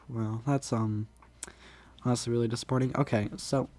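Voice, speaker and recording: A man's voice: medium pitch, steady tone, normal speed, warm tone. A man with a stuffy, congested nose, casually commentating a game tournament, rambling with lots of ums and restarts.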